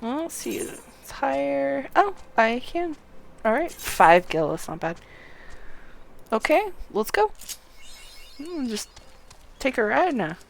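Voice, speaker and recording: A woman's voice: sits high, big pitch swings, slow pace, gravelly, long pauses, a little hiss or hum. A woman game streamer giving a casual walkthrough, chatting and reading quest text aloud.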